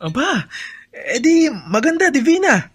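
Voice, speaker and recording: A man's voice: very high-pitched, very animated, measured pace, a bit bright, exaggerated voice. A man playing a jovial, generous hacienda owner in a Filipino radio drama, speaking heartily with English words mixed in. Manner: hearty, Taglish-peppered.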